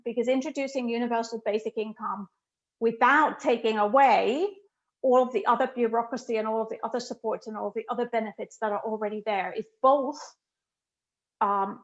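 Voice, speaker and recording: A woman's voice: a little high, muffled recording. Emphatic middle-aged woman from Finland. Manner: emphatic and thoughtful, many "you know" fillers. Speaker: guest economist. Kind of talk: answering questions in an online talk.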